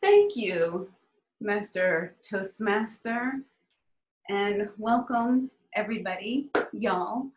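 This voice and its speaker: A woman in her forties from New Orleans speaks candidly into her webcam on a video call, her voice sitting in a middle pitch. The room around her adds a noticeable echo. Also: expressive, slow, a little hiss or hum. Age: 40s. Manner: candid, conversational, sprinkles in "y'all". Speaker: club speaker. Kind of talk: prepared personal speech. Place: home room, indoors. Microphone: laptop webcam on a video call.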